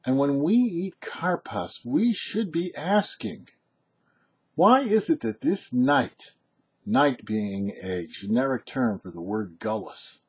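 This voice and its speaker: A man who is a rabbi reads a prepared religious lesson in a measured, explanatory way with emphatic pauses. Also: medium pitch, sing-song, husky, highs cut off. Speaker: rabbi hosting a weekly Torah teaching show. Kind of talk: prepared religious teaching, read aloud.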